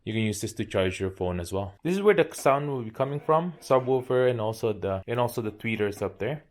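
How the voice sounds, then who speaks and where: Low-voiced man doing a casual product demo. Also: lively intonation, fairly quick. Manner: points things out piece by piece in short phrases. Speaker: product reviewer. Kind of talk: casual unboxing and hands-on demo narration.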